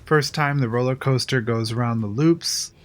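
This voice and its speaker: A man's voice: medium pitch, normal speed, a little hiss or hum, lightly acted. A man playing a tense, cautious control room engineer in an audio drama, with a guarded, practical manner. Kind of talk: fan audio drama acting.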